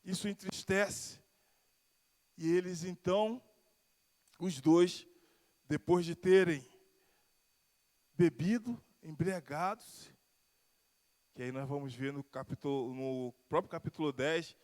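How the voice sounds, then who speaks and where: A man's voice: a little high, slow pace, pauses often, darkish tone, in a large hall. A pastor from Rio de Janeiro with a Carioca accent, preaching and telling his own testimony, his voice swelling and falling and drawing out key words. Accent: Carioca Brazilian Portuguese. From Rio de Janeiro, Brazil. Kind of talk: sermon with personal testimony. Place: church sanctuary.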